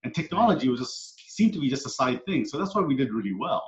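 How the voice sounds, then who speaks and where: A middle-aged man, guest on a podcast, talks through his past in an earnest, reflective way. His voice sits at an ordinary pitch. It echoes in a roomy space and comes through dull and lo-fi. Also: very animated, fast talker. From Canada, moved there at ten. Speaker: guest, coach and speaker. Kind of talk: storytelling in a podcast interview. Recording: echoey room, dull lo-fi sound.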